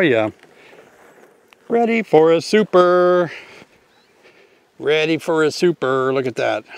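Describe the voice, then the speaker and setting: An older man giving a chatty, enthusiastic beekeeping tutorial outdoors in an apiary, talking straight to viewers. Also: a little high. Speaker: beekeeping teacher. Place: outdoor apiary, grassy field.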